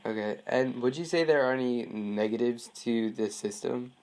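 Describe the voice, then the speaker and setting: A man's voice: medium pitch, normal speed, a little mellow. A teenage male student conducting an informal phone interview, polite and a little hesitant. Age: teens. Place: phone call.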